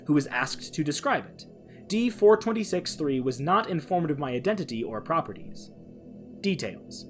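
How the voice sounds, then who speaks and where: Male podcast host, fairly high voice with very animated intonation, faint music underneath. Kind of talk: dramatic reading of a science-fiction horror log; casual podcast sign-off.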